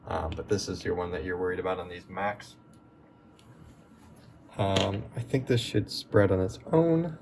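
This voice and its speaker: A man hosting a relaxed, chatty repair walkthrough, his voice going a bit hoarse as he works. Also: low voice, unhurried, long pauses, slight background noise. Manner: relaxed, chatty, with many fillers. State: losing his voice. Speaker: tech repair video host.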